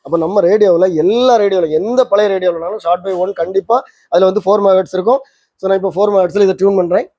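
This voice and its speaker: A man's voice: high voice, fast, warm tone. A man giving an informal hands-on demonstration, explaining conversationally and often rephrasing his points. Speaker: presenter of a vintage radio repair channel. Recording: roomy echo, slightly dull lo-fi sound.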